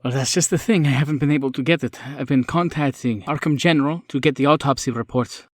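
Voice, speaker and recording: A man voicing a troubled, guarded academic woman in a tabletop game, speaking in an earnest, measured way. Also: mid-range voice, no echo, lightly acted. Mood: troubled, puzzled. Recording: music behind the voice.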